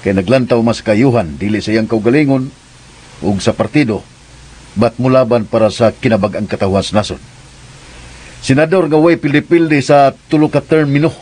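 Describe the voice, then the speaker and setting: A man playing a serious, easily irritated, commanding young man in a radio drama, with a stern, earnest delivery and a husky voice. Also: medium pitch, normal speed, darkish tone, natural voice.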